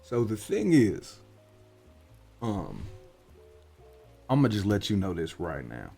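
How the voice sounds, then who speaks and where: Guy doing a deep voiced orc PI, tired and wry, us drawl, soft spoken fantasy roleplay, music under it. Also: huge pitch swings, slow, vocal fry, exaggerated voice. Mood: serious, explaining. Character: gruff, world-weary male orc warlock private investigator. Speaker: voice actor in roleplay audio.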